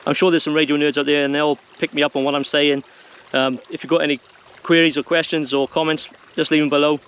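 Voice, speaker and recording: Higher-pitched man giving informal instructions. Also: level tone, quick pace, a bit bright, smooth. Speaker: presenter of a prepping how-to video. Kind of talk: informal instructional talk to viewers.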